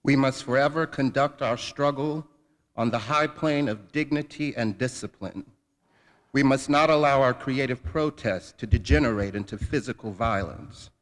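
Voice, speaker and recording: A man's voice: medium pitch, normal speed. A man giving a formal dramatic reading of a speech as a guest reader. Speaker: guest reader at a commemorative event. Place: large indoor hall at a college event. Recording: reverberant hall, long echo.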